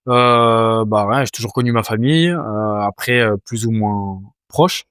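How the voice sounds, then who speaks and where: A man's voice: lowish voice, measured pace, fairly bright tone, clear, resonant tone, dry recording, clean studio sound. A man in his twenties from France, a fighter answering interview questions casually, hesitant with many fillers and restarts. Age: 20s. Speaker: Muay Thai fighter, guest.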